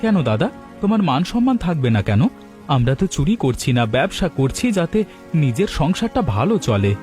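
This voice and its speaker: Man playing a lively-pitched, hesitant village husband. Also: normal speed, soft background music. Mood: earnest. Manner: doubtful, mildly chiding. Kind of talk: Bengali village cartoon dubbing.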